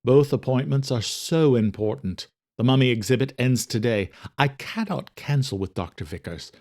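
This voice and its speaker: Narrator guy as stiff scientist doctor, mid-pitched man, formal and clipped. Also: moderate pace, a little mellow, close to an everyday voice. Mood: familiar, weary. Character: formal, troubled male geneticist doctor, pipe smoker.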